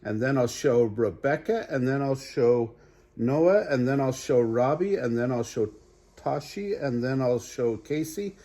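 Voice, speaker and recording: An older man acts out an excitable little girl in a storybook, eager and chattering. The voice is husky and the words come out slowly, one item after another. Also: mid-range voice. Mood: eager, excited. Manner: chattering, listing things eagerly.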